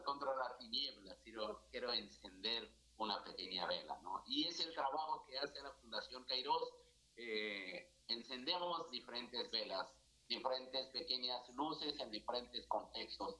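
A middle-aged priest from India speaks with an Indian accent, earnest and halting, full of small fillers. His voice is bright and forceful. He talks over a video call from a small office. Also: medium pitch, rough recording. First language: not Spanish. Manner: earnest, gestures a lot, halting non-native phrasing with many fillers. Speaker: priest, foundation director. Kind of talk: live TV interview by video call. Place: indoor office with a religious statue.

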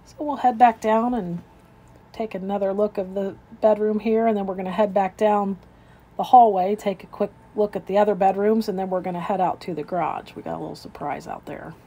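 A woman's voice: mid-range voice, steady tone, a little fast, dark tone, slightly rough. A woman real estate agent narrating an informal, unscripted walkthrough of a home, describing each room as she moves through it. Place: indoors, moving through rooms of a house.

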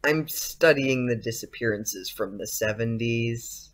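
An actor playing an awkward, earnest teenage student in an audio play. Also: male voice, medium pitch, measured pace, fairly bright tone, natural voice. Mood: awkward, hesitant.

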